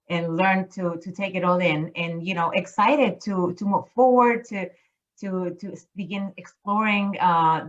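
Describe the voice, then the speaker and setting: A woman's voice: fairly low pitch, muffled recording. A middle-aged woman from Chile, a cruise line business development manager, talking enthusiastically with many restarts in a casual interview. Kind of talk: casual interview chat over video call. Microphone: video call.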